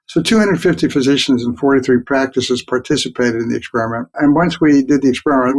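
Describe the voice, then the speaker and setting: A man's voice: medium pitch, low-quality recording. Older male researcher explaining his study. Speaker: guest researcher, physician and emeritus professor. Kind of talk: explanatory interview answers.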